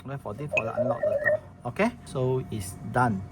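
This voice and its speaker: Male demonstrator, mid pitch, casual and halting how-to delivery, noisy room recording. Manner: halting, plain wording with many restarts and fillers. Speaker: product demonstrator. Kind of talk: casual product demo walkthrough.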